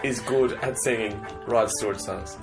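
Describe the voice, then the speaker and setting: A man's voice: fairly low pitch, moderate pace, vocal fry. A young man, bantering casually and sarcastically with swearing during a co-op game. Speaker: gaming video host. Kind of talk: casual co-op let's play banter. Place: living room. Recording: game music behind the voice.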